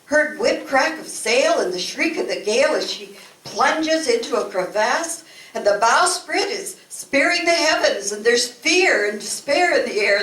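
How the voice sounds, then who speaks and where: An older woman reciting poetry expressively at a community event, with measured, dramatic phrasing. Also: high-pitched, a bit bright. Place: event room, recorded from a distance. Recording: echoey, noisy room, distant microphone.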